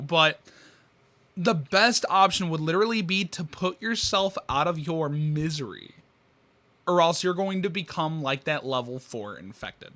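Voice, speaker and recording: A man's voice: a little high, pushed and bright. A young man giving blunt, casual reaction commentary as a video host, recorded in a small room.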